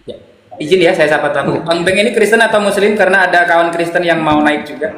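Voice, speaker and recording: A man's voice: a little high, fast talker, fairly bright tone. A man politely moderating a live online discussion and handing over turns to participants. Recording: echoey room with faint noise.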